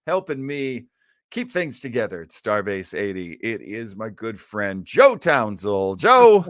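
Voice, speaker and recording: A man's voice: on the low side, very animated, measured pace, fairly bright tone, no echo, clean studio sound. An American man hosting a casual radio fan show, speaking in a theatrical, effusive and self-mocking manner. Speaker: host. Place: indoors at home.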